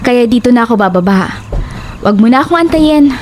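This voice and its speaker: A woman playing a chatty, blunt young best friend in an audio drama, teasing and sharp-tongued. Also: a little high, lively intonation, fairly quick, a little hiss or hum, close to an everyday voice. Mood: breezy, caring.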